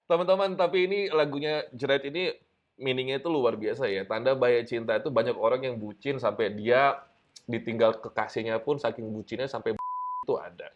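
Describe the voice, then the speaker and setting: A man's voice: medium pitch, a little fast, muffled recording. A man with an Indonesian accent hosting a casual interview, playfully teasing and prompting the guest with questions. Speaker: host interviewer.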